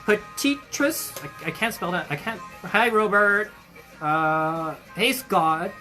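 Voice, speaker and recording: A young adult hosting a casual livestream for a hobby shop, with a bright, ringing tone. The talk is upbeat and friendly, full of fillers and quick restarts while greeting viewers. Soft music plays faintly in the background. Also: medium pitch, expressive. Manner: friendly and effusive toward viewers. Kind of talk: casual livestream chat, greeting viewers and reading their comments.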